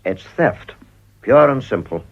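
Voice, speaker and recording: An actor playing a stern, honest middle-aged company director in a radio drama, speaking gravely and firmly with a British accent. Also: male voice, mid-range voice, lively intonation, full character voice. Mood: indignant, firm. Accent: British English. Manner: grave, firm, deliberate. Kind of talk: radio play acting. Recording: dull, muffled old radio recording.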